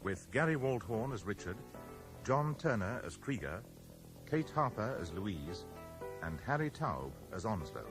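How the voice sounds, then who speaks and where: A male announcer reads scripted radio drama credits. His voice is fairly low with very varied intonation, and music plays clearly behind him in an old broadcast recording. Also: sing-song, unhurried, rough recording.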